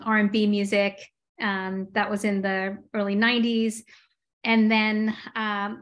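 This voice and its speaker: Chatty forties woman on a video call. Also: mid-range voice. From Los Angeles, USA. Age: 40s. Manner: chatty, frequent "um" fillers, laughs easily. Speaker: guest entrepreneur. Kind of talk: casual interview chat. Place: home room with window blinds.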